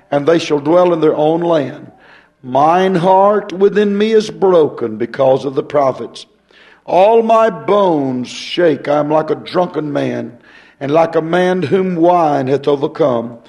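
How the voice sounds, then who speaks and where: Hoarse pastor guy, kinda high voice, folksy rambly preaching. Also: dark tone. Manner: folksy, rambling, reads scripture in a rising preaching cadence. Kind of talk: sermon with scripture reading.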